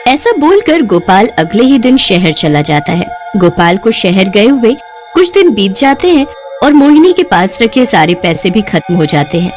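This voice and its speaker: A woman's voice: medium pitch, big pitch swings, normal speed, darkish tone, faint music behind. A woman narrating a scripted cartoon story as its storyteller.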